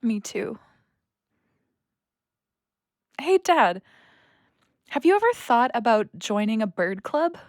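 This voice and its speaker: Female, bright-pitched and very lively, casual grown daughter in an audio play, close dry sound. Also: a little slow, no echo. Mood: playful, teasing. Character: casual, teasing grown daughter, hesitant new clinic assistant. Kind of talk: naturalistic audio drama acting.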